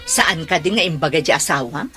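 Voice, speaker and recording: Defiant angry mom in radio drama, confrontational, pitch all over the place. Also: female voice, animated, fairly quick, bright, forceful tone, slightly rough, soft background music, heavily acted. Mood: indignant. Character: indignant, defiant mother fighting for her child. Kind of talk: Ilocano radio drama acting.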